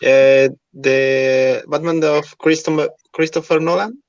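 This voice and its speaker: Male language student, gravelly and monotone, short halting replies, dull far-off microphone. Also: a little high, no room sound. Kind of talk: short halting answers in a lesson. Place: online video call. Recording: distant, muffled online-call microphone.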